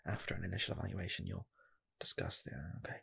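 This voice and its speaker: A man playing an earnest rocket scientist applying for a fast food job, in a soft, half-whispered ASMR roleplay voice that rambles and restarts. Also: low-pitched, very animated, vocal fry, breathy edge, heavily acted. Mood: torn. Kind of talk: ASMR personal attention roleplay.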